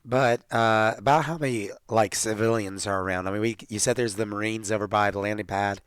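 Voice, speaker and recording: A man's voice: lowish voice, fairly even intonation, a little fast, clean tone, dry recording, studio quality. A man chatting casually as a player in a tabletop roleplaying game.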